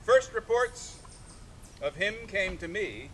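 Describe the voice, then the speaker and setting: A man reads literature aloud outdoors in a rather high voice that rises and falls widely. He declaims in short, separated phrases, with some vehicle noise behind him. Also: expressive, slow. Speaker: artist reading aloud and taking questions. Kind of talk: live literary reading. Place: outdoors in a sculpture park. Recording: noisy outdoor recording with vehicle rumble.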